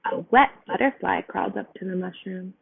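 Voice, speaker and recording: A woman's voice: mid-range voice, a little fast, creaky, breathy edge. A woman reading a picture book aloud to children, speaking simply and putting questions to her young listeners. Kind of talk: read-aloud storybook narration. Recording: phone-like narrow sound.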